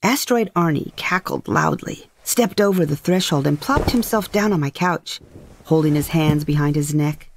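A woman's voice: low-pitched, moderate pace, slight background noise. A woman playing a wry, curious retired space heroine who narrates a comic sci-fi story with dramatic flair. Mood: wry, intrigued.

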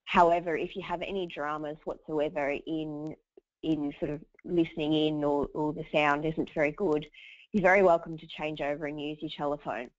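A woman, hoarse from a cold, hosting a webinar and running through housekeeping in a chatty way with many fillers. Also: low voice, steady tone, moderate pace, no room sound. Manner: chatty, lots of uhs. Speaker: webinar host. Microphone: computer mic over a webinar line. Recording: dull dry webinar audio.

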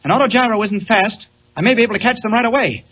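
Guy playing eager polite gentleman, old radio play, high voice talking fast, urgent, muffled sound. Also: close to an everyday voice. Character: eager, earnest, concerned mild-mannered young gentleman. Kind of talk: 1940s radio acting. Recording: dull, noisy 1940 radio recording.

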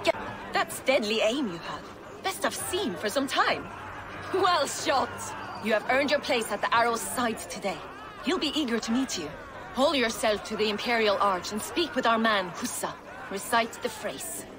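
A woman's voice: fairly high pitch, expressive, normal speed, close to an everyday voice. A woman playing a guarded, brusque Norse warrior in a video game, speaking in a terse, commanding way. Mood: approving, commanding. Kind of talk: dramatic video game acting. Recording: noisy outdoor ambience, crowd behind.

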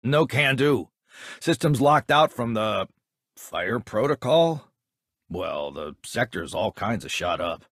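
A blunt male technician in a video game. His mid-range voice is husky and dryly recorded, and he talks in a casual, offhand way. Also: fairly low pitch, very animated, slow pace, fairly bright tone, no room sound, clean studio sound, full character voice. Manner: offhand, colloquial. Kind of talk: dramatic video game dialogue.

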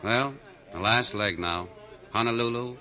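An actor playing a suave, unflappable fugitive in a 1940s radio drama, with a breezy, teasing, urbane manner. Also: male voice, mid-range voice, a little slow, pushed and bright. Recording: 1940 radio broadcast.

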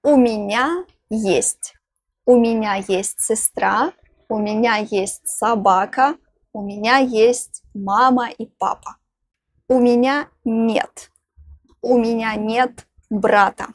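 A young woman, teaching phrases outdoors, saying each one twice, slowly and clearly, into a clip-on lapel mic. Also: a little high, no room sound, clean studio sound. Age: young adult. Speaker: language teacher. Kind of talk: slow phrase-by-phrase lesson. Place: outdoors in front of greenery.

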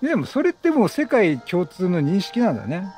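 A man's voice: high-pitched, sing-song, a little fast, darkish tone, faint music behind. A man doing casual, chatty game commentary, teasing and talking back to the characters. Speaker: video game streamer.

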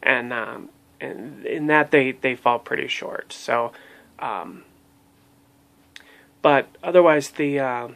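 A man's voice: mid-range voice, slow, vocal fry, long pauses, a bit bright. A man in his thirties giving a casual spoken review of a language course to his webcam in a small room at home, hesitating often with ums and restarts. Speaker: language learning vlogger. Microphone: webcam at desk.

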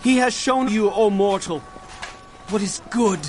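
A man's voice: high voice, a little slow, darkish tone. An actor playing an earnest male prophet in a dramatized audio Bible, declaiming solemnly to his people. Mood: urgent, impassioned. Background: dramatic music.

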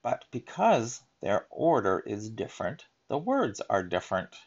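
A man reading a children's picture book aloud in a deliberate, emphatic teaching manner, adding explanatory asides. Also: lowish voice, sing-song, dull audio.

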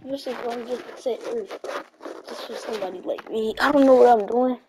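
An amateur performer voicing a desperate, self-blaming but defiant young male hero in a homemade anime fight roleplay, halting and dramatic. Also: mid-range voice, background noise, natural voice. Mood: despairing. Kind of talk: amateur roleplay anime fight acting over game footage.

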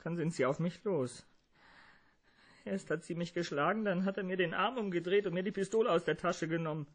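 A man's voice: high-pitched, brisk. A man playing an agitated, evasive crook in a German radio play, whining and indignant as he protests. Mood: aggrieved.

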